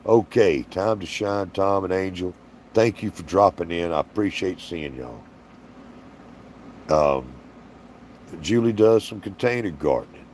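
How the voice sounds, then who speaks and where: A slow, unhurried man chatting on a livestream, folksy and rambling as he reads out viewer comments. His voice sits very low and sounds tired and strained. A faint hum of background noise stays under him. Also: a little mellow. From Alabama, US. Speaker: livestream host. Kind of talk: casual livestream Q&A chat.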